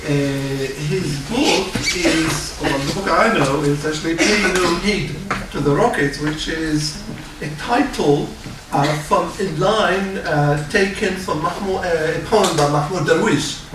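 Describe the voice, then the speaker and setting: A man moderates a book festival panel in a slightly high voice, hesitant and full of fillers. He is far from the microphone in a very reverberant library hall, with clear background noise. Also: slow pace. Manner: hesitant, many fillers, friendly asides. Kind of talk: hosting a literary panel. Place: public library event hall. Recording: echoey hall, distant mic, audible noise.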